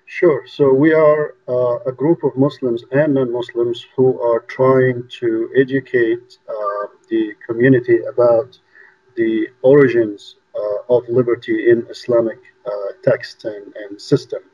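A man from Egypt, a guest on an interview show, talking by phone in a halting way with many fillers. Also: mid-range voice, steady tone, slightly rough. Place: home in New York. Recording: dull lo-fi phone line.

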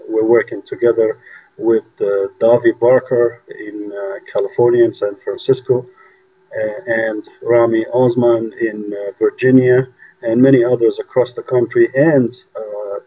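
A man from Egypt, a guest on an interview show, talking by phone in a halting way with many fillers. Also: on the low side, slightly rough. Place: home in New York. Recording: dull lo-fi phone line.

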